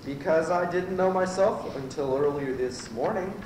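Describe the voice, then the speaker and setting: A man's voice: a little high, normal speed, far from the mic, close to an everyday voice. Male actor, earnest teenage goat witness, rambling, radio spoof, echoey, noisy tape. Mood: rambling, explaining. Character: earnest, rambling nineteen-year-old male goat, rental clerk. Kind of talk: amateur old-time radio comedy acting.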